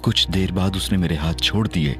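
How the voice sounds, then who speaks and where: A man's voice: deep voice, a little fast, fairly bright tone. Playful male narrator over music. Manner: playful, cheeky, mock-innocent. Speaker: narrator and young hero. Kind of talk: first-person audio drama narration and acting.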